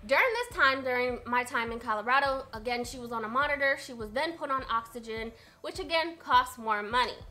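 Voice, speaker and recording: Twenties woman vlogger, bright and slightly high, earnest to camera over faint music. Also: a little fast, few pauses, poor audio. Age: 20s. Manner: earnest, repeats herself for emphasis. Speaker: budgeting vlogger. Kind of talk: casual talk to camera.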